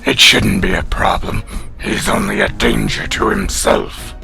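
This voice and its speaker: An actor playing a proud, scornful alien cat warrior in an audio drama, speaking formally and with command. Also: male voice, on the low side, wildly swooping pitch, measured pace, creaky, sharp tone, heavily acted. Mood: cold, commanding. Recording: faint music behind.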